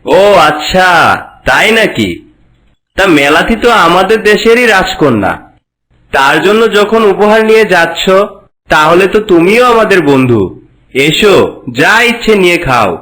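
High-voiced sly cartoon trickster played by a man. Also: very animated, moderate pace, long pauses, bright, forceful tone, exaggerated voice, low-quality recording. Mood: friendly. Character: sly, envious trickster, suspicious then falsely friendly. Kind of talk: cartoon voice acting.

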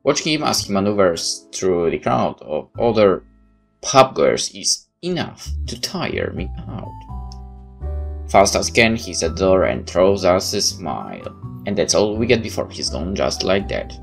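Deep, gravelly visual novel narrator. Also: male voice, sing-song, a little slow, full character voice. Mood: wry, observant. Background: soft guitar music.

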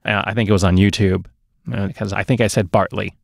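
A man's voice: lowish voice, flat delivery, brisk, gravelly, dry recording. A middle-aged man hosting a podcast in casual movie chat, close to a microphone in a small studio. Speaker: podcast host. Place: small dark home studio, indoors. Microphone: close podcast microphone on boom arm.

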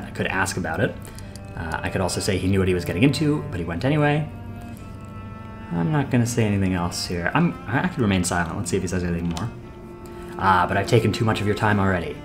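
A young man hosting a game playthrough, reading character dialogue aloud in a plain voice and then chatting casually about his choices. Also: low voice. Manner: reads character lines plainly, then chats casually with pauses and fillers. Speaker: let's play host. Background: game music.

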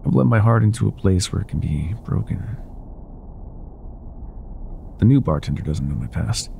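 A man playing an older ex-mafia boyfriend in an intimate ASMR roleplay, speaking softly and affectionately with some hesitation. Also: deep voice, expressive, moderate pace, gravelly, slight background noise, heavily acted. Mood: vulnerable, wistful. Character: older ex-mafia boyfriend, tender, teasing, vulnerable bar owner.

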